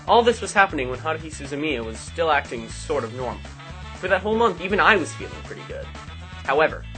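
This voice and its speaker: A young man playing a sardonic high school student who narrates an amateur anime dub in a wry, deadpan way. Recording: music behind the voice.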